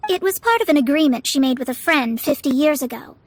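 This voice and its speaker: A woman's voice: high voice, animated, quick pace, a little hiss or hum. A woman voicing a proud young female astrologist in a video game, speaking in a formal, theatrical, haughty way. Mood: confident, persuasive. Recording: music behind the voice.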